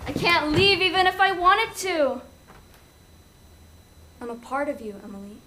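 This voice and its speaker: Casting: young actress as a hidden other self, high voice, unhurried, sly and persuasive; student stage play. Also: female voice, very animated, background noise. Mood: taunting, cool.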